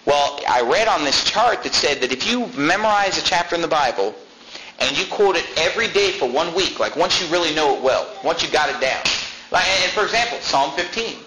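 A man's voice: fairly high pitch, expressive. A man in his twenties telling a personal story to a live audience in a chatty, self-deprecating way. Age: 20s. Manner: chatty and self-deprecating, with frequent "I mean" and quick laughs. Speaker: speaker addressing a congregation. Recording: echoey room, faint background noise.

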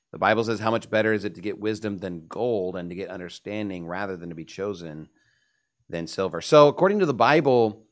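A man with a fairly low voice speaks as a panelist in a religious discussion. He is emphatic and opinionated, delivering his points like someone used to quoting scripture.

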